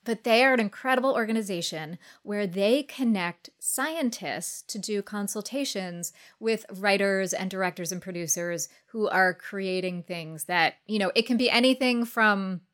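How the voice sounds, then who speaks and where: A woman's voice: medium pitch, normal speed, hardly any pauses, fairly bright tone. A woman speaking as a podcast guest, explaining her points articulately in a conversational style. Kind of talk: conversational interview talk.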